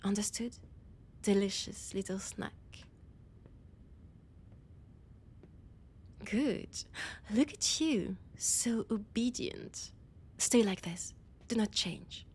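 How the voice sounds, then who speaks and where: A woman's voice: medium pitch, slow, a little mellow, breathy edge. A woman with a French accent voicing an annoyed, imperious vampire scholar in an intimate audio roleplay, haughty and commanding, often slipping into a whisper. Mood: stern, teasing menace.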